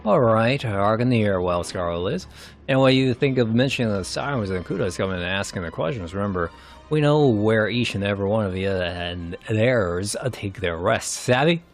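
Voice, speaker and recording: A man's voice: on the low side, expressive, normal speed, soft background music. A man voicing a menacing, swaggering pirate ringleader in a fantasy game, with taunting, colloquial pirate speech. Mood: menacing, mocking. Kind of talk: narrated fantasy game dialogue.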